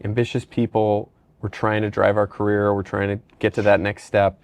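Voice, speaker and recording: A middle-aged man with a slightly low voice, recorded dry without room sound. He hosts a podcast interview in a casual, conversational way, drifting through anecdotes and questions in loose, rambling sentences. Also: completely flat delivery, moderate pace, darkish tone, no room sound. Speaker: podcast host, company leader.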